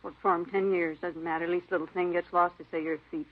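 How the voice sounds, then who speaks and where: A woman's voice: fairly low pitch, flat delivery, fast talker, close to an everyday voice. An actress playing an aggrieved, defiant cleaning woman with plaintive, insistent, clipped folksy phrasing in a 1950s radio drama. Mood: bitter, aggrieved. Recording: muffled vintage radio recording.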